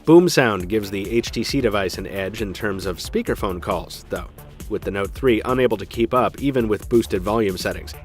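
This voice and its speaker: A man's voice: lowish voice, lively intonation, moderate pace, soft background music. A man hosting a tech show, narrating a scripted product review in an emphatic style with dry, wry asides.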